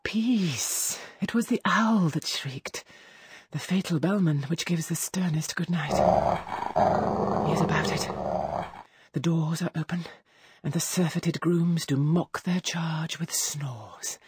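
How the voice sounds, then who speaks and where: An actress playing a ruthless, scornful murderous wife in a theatrical Shakespearean drama, intense, commanding and breathy. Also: female voice, deep voice, slow pace, heavily acted. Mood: hushed, bold.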